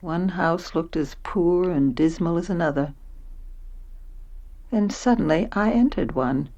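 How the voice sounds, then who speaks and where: A woman's voice: fairly low pitch, unhurried, mellow, lo-fi sound. An actress playing an introspective, wondering middle-aged woman who narrates her own story in a radio play, reflective and self-questioning. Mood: wondering, uneasy.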